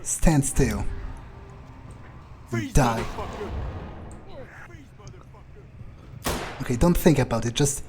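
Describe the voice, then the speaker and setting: A man's voice: mid-range voice, takes it slowly, over music, lightly acted. A man voicing a cocky, bloodthirsty newly turned vampire, thinking aloud in a profane, musing way during a video game roleplay. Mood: predatory, relaxed. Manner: musing, arrogant, profane, hesitant pondering. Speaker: player character in a roleplay playthrough. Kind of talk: in-character video game playthrough commentary.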